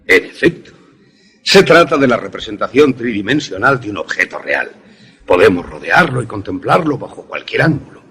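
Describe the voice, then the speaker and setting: Guy acting as older professor inventor in a radio play, really husky, lowish, voice swings a lot, lecturing. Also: sing-song, fairly bright tone, exaggerated voice. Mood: didactic, proud. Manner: didactic, deliberate, emphatic explanations. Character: older male professor, obsessive inventor, didactic and troubled. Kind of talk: Spanish-language suspense radio drama acting.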